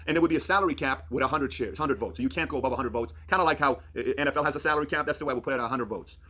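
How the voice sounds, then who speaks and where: A man from Iran presents a persuasive explainer in an earnest way. He talks extremely fast in a husky, mid-pitched voice. He often asks a question and then answers it with emphasis. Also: sharp tone. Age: 30s. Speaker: video presenter.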